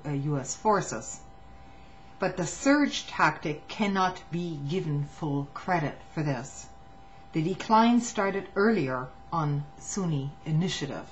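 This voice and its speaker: Low, slow woman, dull noisy recording. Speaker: reader of a testimony. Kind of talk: reading a written political statement aloud.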